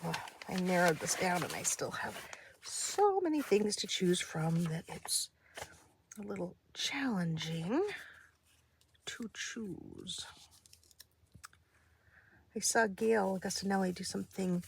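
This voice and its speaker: A woman's voice: fairly low pitch, very animated, slow, gravelly, long pauses, a little hiss or hum. A woman with a slightly stuffy, nasal voice chatting casually and thinking aloud as she hosts a craft session at her desk, meandering and interrupting herself. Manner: hesitant, meandering, self-interrupting. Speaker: craft video host.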